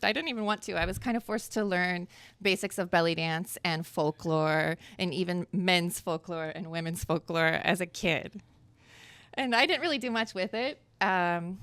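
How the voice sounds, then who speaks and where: A woman's voice: fairly low pitch, fairly bright tone, clear, resonant tone, dry recording. A woman in her thirties from Florida, telling her story candidly as a guest at a live podcast interview. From Florida, USA. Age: 30s. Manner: candid, chatty, laughs easily. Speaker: guest dancer. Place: live podcast event in Miami. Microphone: live event microphone.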